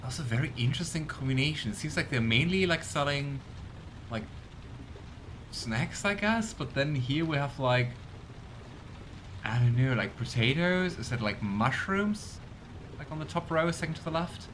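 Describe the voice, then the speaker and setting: Chatty, bemused young gaming host. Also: male voice, a little high, lively intonation, moderate pace, fairly bright tone, a little hiss or hum. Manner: chatty, bemused, full of fillers like "kinda". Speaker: let's play host. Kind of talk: casual gameplay commentary.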